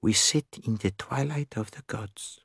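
A man's voice: medium pitch, breathy edge, no echo, clean studio sound, voice pushed up. A man playing an elderly detective, courteous, methodical and a little weary, speaking steadily in a story reading. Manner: steady, measured.

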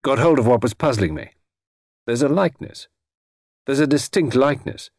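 A male narrator plays an excitable painter from a storybook. The delivery is boisterous and blurting, higher than natural talk, with big swings in pitch. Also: moderate pace, dry recording, clean studio sound. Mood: excited. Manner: blurting, emphatic.

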